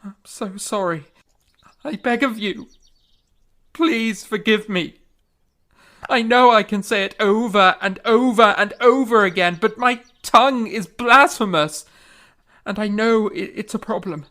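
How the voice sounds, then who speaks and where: A woman's voice: mid-range voice, unhurried, fairly bright tone, natural voice. Woman voicing a hot-tempered young composer. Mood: pleading. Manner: stammering, pleading, breaks into frantic self-scolding. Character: hot-tempered young male composer, remorseful and self-loathing.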